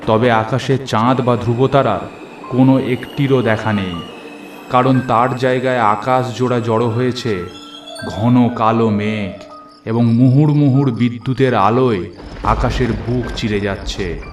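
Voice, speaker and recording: A man's voice: mid-range voice, steady tone, measured pace, roomy sound, faint music behind. A man narrating a horror story in the first person, in a literary, suspenseful storytelling manner. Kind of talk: dramatic scripted horror narration.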